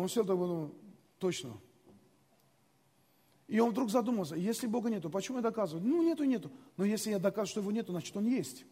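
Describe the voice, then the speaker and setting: A man's voice: high voice, mellow, slightly rough, in a large hall. A man preaching a sermon in a conversational way, repeating phrases and calling the congregation to respond. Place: large church hall.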